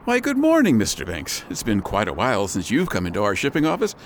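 A man's voice: on the low side, wildly swooping pitch, fast talker, slight background noise. A man playing a polite, loyal shipping clerk in a radio drama, courteous and eager to please. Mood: deferential.

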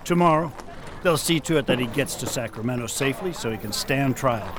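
A man's voice: mid-range voice, animated, brisk, background noise, close to an everyday voice. An actor playing a loyal male frontier sheriff in a Western radio drama, plainspoken and modest. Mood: friendly. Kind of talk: amateur radio drama acting.

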